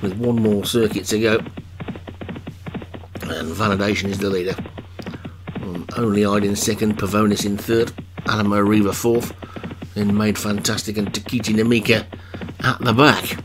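A man commentating a video game horse race for an online league, chatting between calls, his voice getting croaky. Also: lowish voice, steady tone, normal speed, pauses often, over music, poor audio.

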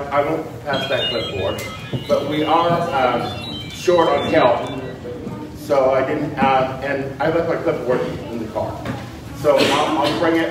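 A man's voice: medium pitch, background noise. A man in a church congregation making a chatty, rambling announcement about a fundraiser. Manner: rambling, chatty, many restarts. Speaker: congregation member. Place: church sanctuary, from the pews. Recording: echoey hall from far off.

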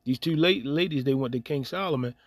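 Husky guy preaching over a screen recording, keeps saying okay, dry close sound. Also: medium pitch, a little fast, no room sound. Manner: repeats "okay?" after nearly every point, reads scripture aloud. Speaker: online preacher commenting on news. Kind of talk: casual religious commentary over screen recording.

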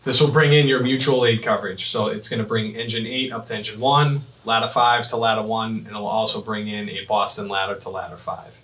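A man in his thirties giving a step-by-step software demonstration in short, clipped instructional phrases. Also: fairly low pitch, steady tone, brisk, hardly any pauses. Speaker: fire dispatch trainer. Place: small indoor office at a computer desk. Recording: roomy, echoing, mic at a distance.

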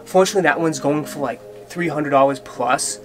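A man's voice: fairly high pitch, fairly quick. A man in his thirties with a Boston accent from Massachusetts, giving a casual closet tour in a small closet, gushing about each piece with lots of fillers. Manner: enthusiastic, filler-heavy, gushing. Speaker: fashion vlogger. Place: small bedroom closet, indoors. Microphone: camera on tripod at close range. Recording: background music under voice.

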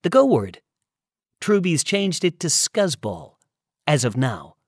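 A man voicing a brisk, confident young woman rescue pilot in an audiobook, with slangy, commanding talk. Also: on the high side, very animated, no room sound, clean studio sound, pitched above the performer's own voice, exaggerated voice. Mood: breezy.

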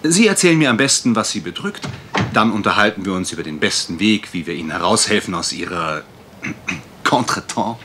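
Casting: pompous, charming private detective, lower-pitched with lively swoops, courteous, for a radio mystery. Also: male voice, brisk, slight background noise. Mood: pompous, affable. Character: suave, theatrical gentleman private detective with French affectations. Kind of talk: German radio mystery play acting.

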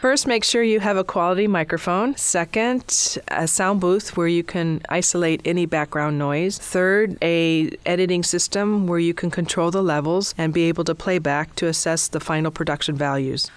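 Female interview guest, producer type. Smooth, clear voice, close dry sound, scripted-sounding explanations. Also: on the low side, fairly even intonation, normal speed, hardly any pauses, no room sound. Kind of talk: prepared interview answers.

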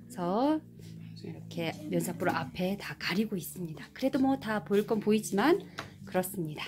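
A woman's voice: medium pitch, lively intonation, normal speed. A woman narrating a wedding in a casual, chatty way, laughing often. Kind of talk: casual voice-over commentary. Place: outdoors at a wedding in Kenya. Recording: with music playing behind.

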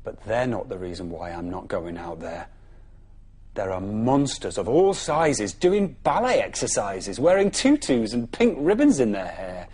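Lively, soft-husky English storyteller man. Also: unhurried. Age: 30s. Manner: dramatic, often dropping soft and low. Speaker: children's bedtime story presenter. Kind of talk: expressive storytelling for young children. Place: television studio.

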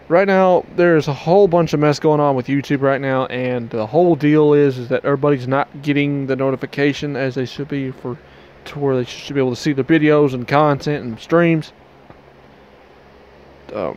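Young male streamer, slightly high voice, loose rambling outro on a headset mic, small bedroom. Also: a little mellow, highs cut off. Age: young adult. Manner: rambling, loose restarts, Southern y'all. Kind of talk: casual stream outro to camera.

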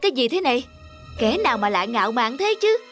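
An actor playing a proud, hot-tempered middle-aged court official in an animated folk tale, boastful and scornful. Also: male voice, extremely high pitch, fast talker, full character voice. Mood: indignant. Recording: music under the dialogue.

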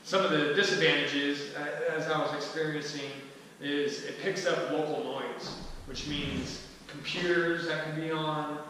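Far from the microphone in a very echoey hall sounds a man with a bright, forceful voice. He presents casually, pausing and restarting as he thinks aloud. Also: fairly high pitch, steady tone, a little hiss or hum. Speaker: presenter at a skillshare. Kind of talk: informal talk to a small group. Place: large wooden-floored hall, indoors. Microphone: distant camera microphone.

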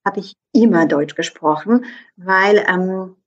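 Zögernde Frau mit polnischem Akzent, dumpfer Klang. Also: female voice, mid-range voice, slow pace, lo-fi sound. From southeastern Poland. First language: Polish. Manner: hesitant, many fillers. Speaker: guest. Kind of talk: conversational interview.

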